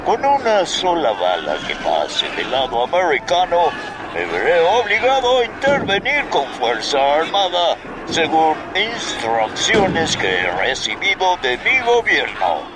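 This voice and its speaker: An actor playing a stern American army colonel in a radio drama, stiff and formal, with outdoor noise behind. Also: male voice, on the high side, wildly swooping pitch, measured pace. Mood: stern warning.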